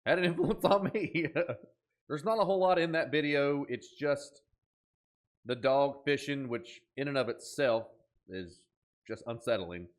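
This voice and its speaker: A man's voice: mid-range voice, unhurried. A man hosting a reaction video, talking casually and dramatically as he comments on what he watches. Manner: animated, dramatic exclamations.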